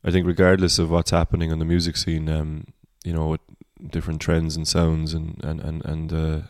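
This is a Cork Irish man with a deep baritone voice that sits very low. His delivery stays level, with little rise and fall. He sounds reflective and wanders through his thoughts in a casual podcast chat, recorded close with a dry sound. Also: monotone, a little fast, no room sound. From Cork, Ireland. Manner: reflective, rambling. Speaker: singer-songwriter guest.

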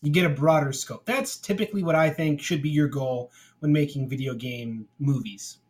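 A man's voice: on the high side, moderate pace, darkish tone, slightly rough. A man co-hosting a podcast in a casual, conversational style. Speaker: podcast co-host. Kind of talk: casual chat.

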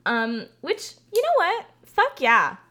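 A woman's voice: sits high, wildly swooping pitch, slow pace, pushed and bright. A woman co-hosting a podcast, chatting casually about movies in slangy, profanity-sprinkled speech. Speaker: podcast co-host. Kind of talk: casual movie-review chat.

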